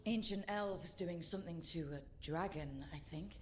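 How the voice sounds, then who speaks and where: An actress playing a businesslike, direct young heroine in a fantasy video game. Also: female voice, lowish voice, moderate pace, lightly acted. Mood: curious. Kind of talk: dramatic video game acting. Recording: clear game ambience noise behind.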